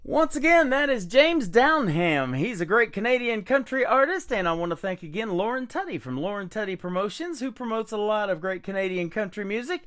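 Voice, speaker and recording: A man's voice: high voice, lively intonation, fairly quick, few pauses, a bit bright. An upbeat male radio host chats casually with a guest. He talks easily and fills gaps with frequent "uh"s. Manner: upbeat and chatty, with frequent "uh" fillers. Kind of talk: casual radio interview chat.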